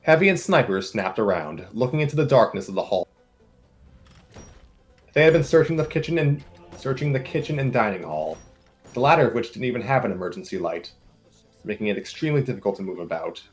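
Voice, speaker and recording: A man's voice: lowish voice, animated, brisk, long pauses, faint music behind. A man narrating a comic story aloud in an informal group setting, with casual asides.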